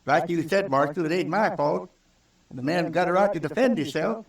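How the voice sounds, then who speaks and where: Defiant frontier homesteader, slightly distant. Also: male voice, a little high, normal speed, creaky. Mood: defiant, resigned. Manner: defiant, plain-spoken, folksy. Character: stubborn, proud male homesteader on the frontier. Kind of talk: old-time radio western acting. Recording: distant old radio recording.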